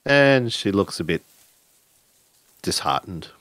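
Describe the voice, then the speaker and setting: A man improvising narration for a tabletop role-playing game, pausing often mid-sentence. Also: low-pitched, expressive, fairly bright tone, no room sound. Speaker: tabletop role-play game storyteller or player.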